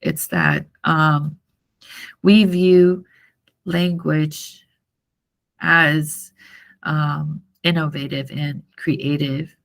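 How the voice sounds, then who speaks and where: A woman university lecturer, a native Mandarin speaker from Taiwan, explaining material to a class in a halting, repetitive way with frequent checks for understanding. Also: low-pitched, creaky. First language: Mandarin Chinese.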